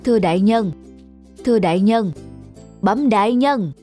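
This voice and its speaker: A woman's voice: medium pitch, animated, moderate pace, dark tone, natural voice. Woman voicing a respectful court aide over music. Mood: concerned. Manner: respectful, formal. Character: deferential, concerned male court aide.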